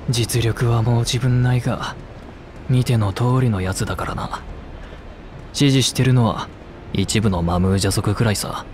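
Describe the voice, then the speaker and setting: A man's voice: medium pitch, fairly even intonation, normal speed, noisy background, close to an everyday voice. An actor playing a knowledgeable male traveller in a fantasy game, explaining things bluntly and matter-of-factly. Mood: calm, matter-of-fact. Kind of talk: scripted game cutscene dialogue.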